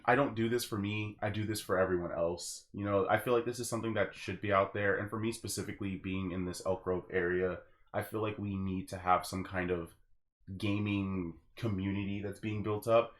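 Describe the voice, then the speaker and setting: A man's voice: on the low side, steady tone, a little fast. A man hosting a casual podcast, talking earnestly and at rambling length to his listeners. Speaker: podcast host.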